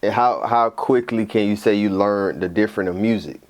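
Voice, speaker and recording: Chill podcast host guy, lowish voice, slangy and hyped up. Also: moderate pace. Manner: laid-back slang-heavy hype talk. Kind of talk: casual interview.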